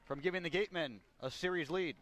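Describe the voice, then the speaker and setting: A man calling baseball play-by-play for radio in a measured delivery that builds on big plays, working as a broadcaster at an outdoor ballpark. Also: fairly high pitch, fairly bright tone. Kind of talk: radio sports commentary.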